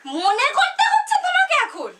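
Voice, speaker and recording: A woman's voice: very high voice, huge pitch swings, normal speed. A young woman with a West Bengal Bengali accent, talking in a dramatic, insistent, accusing way as on a prank phone call. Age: young adult. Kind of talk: animated prank phone calling, theatrical scolding. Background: background music.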